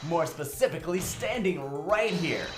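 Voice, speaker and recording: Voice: mid-range voice, animated, moderate pace, echoey room, faint music behind, lightly acted. A performer voicing a cocky, sarcastic young male defense lawyer who jokes dramatically through a courtroom video game fan dub. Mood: wisecracking. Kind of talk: video game fandub voice acting.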